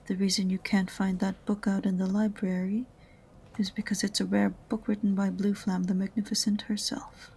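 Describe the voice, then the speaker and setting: A woman's voice: mid-range voice, flat delivery, normal speed, vocal fry, a little mellow, husky. A woman playing an aloof, sarcastic witch librarian in a fantasy audio roleplay, prim and condescending in a dry way. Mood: condescending, scolding. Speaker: voice actor in amateur audio roleplay.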